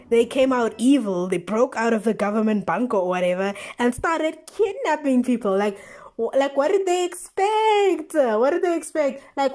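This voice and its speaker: A young woman with a South African accent chatting casually, retelling stories in an animated, slangy way with exclamations and rhetorical questions. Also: on the high side. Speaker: vlogger. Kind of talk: casual chatty storytelling commentary.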